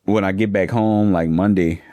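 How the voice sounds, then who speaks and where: A man in his thirties, a funding expert talking casually in a podcast interview, slangy and relaxed. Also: low-pitched, moderate pace, dry recording. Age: 30s. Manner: laid-back slangy talk, calls listeners bro.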